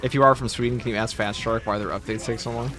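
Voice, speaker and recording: A man's voice: lowish voice, fairly even intonation, fast talker. A man hosting a gaming livestream, reading viewer messages aloud and riffing on them casually. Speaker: streamer host. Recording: background noise under voice.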